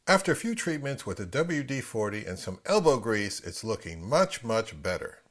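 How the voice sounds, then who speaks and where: A man narrating a casual hands-on how-to, unhurried and thinking aloud as he works. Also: mid-range voice, sing-song, a bit bright. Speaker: repair tutorial presenter.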